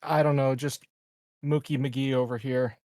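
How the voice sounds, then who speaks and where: A man with a gravelly, creaky edge and an average pitch talks as a player in a tabletop game. His talk is casual and profane, picked up dry with no room sound. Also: little pitch movement, unhurried, darkish tone, no room sound.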